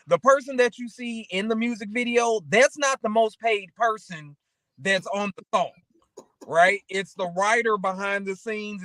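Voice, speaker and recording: A man's voice: high voice, pushed and bright, no echo, rough recording. A man chatting casually and motivationally as a talk show co-host, rambling through anecdotes and often checking in with the listener.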